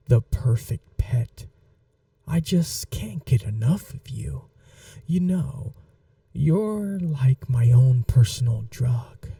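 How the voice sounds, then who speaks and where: Woman playing a dark, slow-purring vampire mistress. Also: rumbling low, creaky, no room sound, far from the mic, full character voice. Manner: condescending, purring, coaxing like an owner to a pet. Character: possessive, teasing female vampire mistress, sweetly cruel. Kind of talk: ASMR roleplay, slow intimate monologue.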